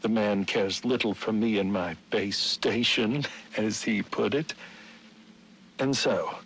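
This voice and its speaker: An actor playing a formal, aggrieved nineteenth-century gentleman narrating his diary in a measured way for a video game. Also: lowish voice, a little hiss or hum. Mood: grave, resentful.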